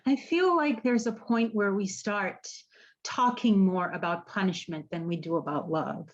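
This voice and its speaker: An actress playing an earnest, haunted woman in an audio drama, speaking haltingly and searching for her words. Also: medium pitch, lo-fi sound, natural voice. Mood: reflective, uneasy. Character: earnest, haunted woman running an apocalypse support group. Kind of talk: naturalistic podcast radio drama acting.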